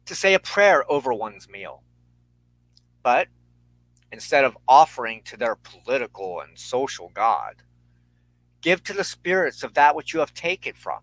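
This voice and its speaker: Slow, solemn man with a bright, forceful voice. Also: medium pitch, very animated, dry recording, low-quality recording. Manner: measured, ceremonious, formal phrasing. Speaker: host of a weekly spiritual talk show. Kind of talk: solemn sermon-like spiritual teaching.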